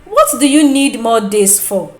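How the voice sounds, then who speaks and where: A woman's voice: a little high, big pitch swings, a little slow, darkish tone, echoey room, faint music behind. A woman with a Nigerian accent voicing a conflicted young seamstress who protests firmly and emphatically. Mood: dismissive. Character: conflicted young seamstress, firm yet wavering friend.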